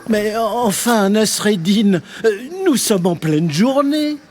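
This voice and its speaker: A man's voice: sits high, takes it slowly, darkish tone, soft background music. A man playing an anxious, needy sultan in a children's cartoon, hesitant, fussy and a little pompous. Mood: impatient. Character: anxious, needy sultan, a fretful male ruler. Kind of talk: French children's cartoon acting.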